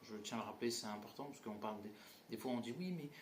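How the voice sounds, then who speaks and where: A man's voice: lowish voice, expressive, quick pace. A man giving a casual film critique, blunt and mocking, with lots of fillers and restarts. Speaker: movie reviewer. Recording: roomy echo, faint ambient noise.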